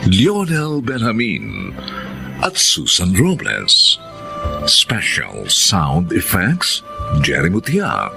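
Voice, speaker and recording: A man narrating and announcing a radio drama in a solemn, scripted style with dramatic pauses, over music. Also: deep voice, wildly swooping pitch, gravelly. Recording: music behind the voice.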